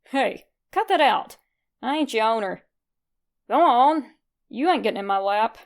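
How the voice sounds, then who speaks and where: Woman voicing a gruff, gravelly, smug skeleton guy. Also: fairly high pitch, lively intonation, measured pace. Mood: annoyed. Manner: slangy tough-guy drawl with dropped grammar. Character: gruff, smug, flirty male skeleton monster.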